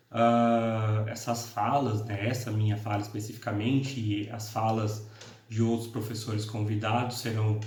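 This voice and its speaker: A man's voice: on the low side, darkish tone. Guy from paraná interior giving a law talk, lots of uh and restarts, roomy echo, mic kinda far off. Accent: northern Paraná interior Brazilian Portuguese. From interior of Paraná, Brazil. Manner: hesitant, many fillers and self-corrections. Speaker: law professor and course coordinator. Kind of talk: informal recorded lecture. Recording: echoey room, mic at a distance.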